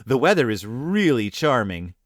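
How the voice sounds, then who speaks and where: Breezy, prim village girl from a fairy tale, voiced by a man; polite, teasing, lilting pitch. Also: very animated, moderate pace, no echo, clean studio sound, close to an everyday voice. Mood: cheerful.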